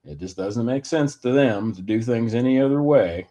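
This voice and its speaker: A man giving a rambling personal opinion monologue in a deliberate, halting manner with wordy, formal phrasing. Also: medium pitch, dark tone.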